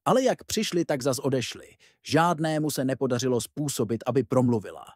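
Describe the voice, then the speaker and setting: A man's voice: medium pitch, animated, darkish tone, dry recording, studio quality. A man narrating a fairy tale as a scripted storyteller.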